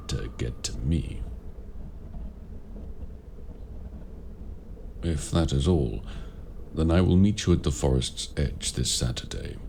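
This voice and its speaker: A man playing a courteous, grave warlock mentor in raven form, speaking formally and deliberately in an audio roleplay. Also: very deep voice, gravelly, warm tone, slight background noise, full character voice. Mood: firm, matter-of-fact. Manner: formal, measured, deliberate phrasing. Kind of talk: audio roleplay addressed to the listener.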